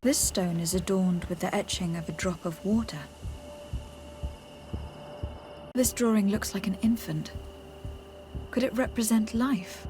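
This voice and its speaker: Earnest, measured young woman game actress, mid-pitched. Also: background noise, natural voice. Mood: pondering.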